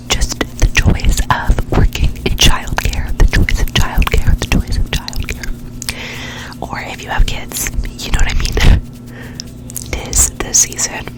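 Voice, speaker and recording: A young woman with a cold, nasal and stuffy, rambling in a soft ASMR whisper at home, close to a sensitive mic and repeating words playfully. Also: poor audio. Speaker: ASMR creator. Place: home bedroom with dim warm lamp. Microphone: very close sensitive mic, gain turned up high.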